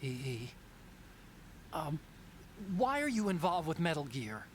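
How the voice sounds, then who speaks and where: A man's voice: fairly high pitch, very animated, a little slow, a little hiss or hum. An actor playing a nervous young male engineer who hesitates and stammers. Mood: anxious.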